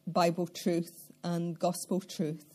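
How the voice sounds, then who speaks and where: An older Scottish woman speaks in a lowish, mellow voice. She tells her story in a measured, reflective way, taking her time between thoughts. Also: level tone. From central Scotland. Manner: measured, reflective, with occasional soft laughs and throat clearing. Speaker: interviewee. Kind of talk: life-story interview.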